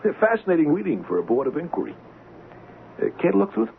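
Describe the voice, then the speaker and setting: Sardonic seafaring blackmailer, theatrically lively. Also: male voice, fairly high pitch, fast talker, creaky, full character voice. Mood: taunting. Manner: mocking, theatrical, taunting. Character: bitter, sardonic drunken ship's engineer turned blackmailer. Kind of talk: old-time radio drama acting. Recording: lo-fi, noisy radio drama recording.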